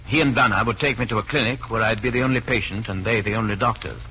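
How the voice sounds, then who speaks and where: A man's voice: lowish voice, fast, bright, forceful tone. An actor playing a nervy, blunt man caught up in espionage, with clipped, urgent delivery in a vintage radio drama. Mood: anxious. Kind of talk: 1940s radio drama acting. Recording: muffled, noisy old radio recording.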